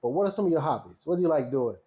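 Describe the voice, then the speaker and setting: A man's voice: fairly high pitch, expressive, rapid-fire, warm tone, slightly rough. Chatty man hosting a casual interview. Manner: friendly, chatty, playful questioning. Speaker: host.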